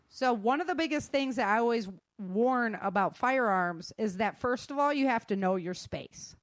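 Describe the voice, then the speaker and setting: A woman's voice: on the high side, expressive, a little slow, no echo. A woman giving casual, conversational advice in an emphatic, plain-spoken manner. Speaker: guest sharing self-defense advice.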